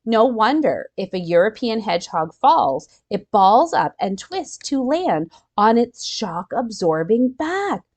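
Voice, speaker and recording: Very animated woman reading to children. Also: fairly high pitch, measured pace, hardly any pauses. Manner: expressive, explains words simply, asks the listeners questions, gasps in wonder. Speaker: children's storytime host reading a picture book aloud. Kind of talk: animated read-aloud with asides to young listeners.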